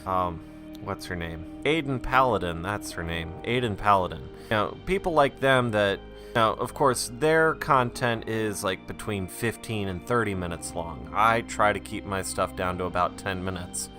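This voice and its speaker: A man's voice: fairly low pitch, animated, unhurried. A man speaking in a casual, self-deprecating ramble, like an audio diary for his channel. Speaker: YouTube creator recording an audio diary. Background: music.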